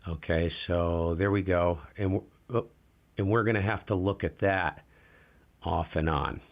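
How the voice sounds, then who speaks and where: A man with a very low voice running a casual live ham radio demo, talking to himself as he adjusts settings. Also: unhurried, creaky, dull audio. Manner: relaxed, talks to himself while adjusting gear. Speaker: ham radio livestream host.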